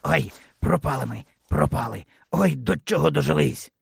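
A man's voice: sits high, lively intonation, a little slow, no room sound, studio quality, pitched above the performer's own voice. A man voicing a bossy storybook fox, whining and scornful in his manner. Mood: lamenting. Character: grumbling bossy old-world fox in his burrow.

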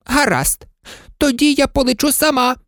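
A woman's voice: high-pitched, moderate pace, fairly bright tone, no room sound, clean studio sound, pitched above the performer's own voice. A woman voicing a bossy, stingy old woman fairy who scolds and gives orders. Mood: angry scolding. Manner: scolding, commanding.

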